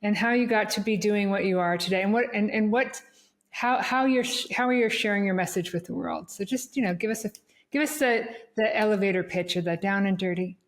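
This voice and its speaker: A woman hosts a podcast in a chatty, friendly-sounding manner. Her voice sits at a middle pitch. She often repeats words and restarts her sentences as she talks. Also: brisk. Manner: chatty, repeats words while searching for them. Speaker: podcast host. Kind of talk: podcast hosting.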